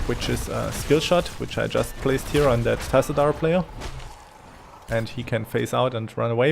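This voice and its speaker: A man's voice: mid-range voice, creaky, slight background noise. A man with a German accent, a native German speaker, giving unscripted video game commentary in a hesitant, thinking-aloud way. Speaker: gaming commentator.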